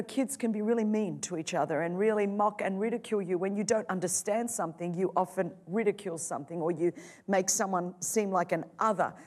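A middle-aged woman preaching and telling her own story, passionate, moving between loud, soaring lines and quiet, hushed ones. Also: medium pitch. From Australia. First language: Greek. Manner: impassioned, swings from loud to soft. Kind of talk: sermon testimony.

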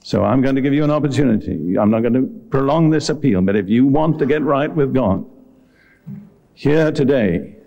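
A man preaching a sermon to a congregation, speaking earnestly and deliberately and addressing his listeners directly. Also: mid-range voice, expressive, vocal fry, dark tone, lo-fi sound. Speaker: visiting preacher. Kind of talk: sermon with Bible teaching and altar call. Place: live before a congregation at a meeting.

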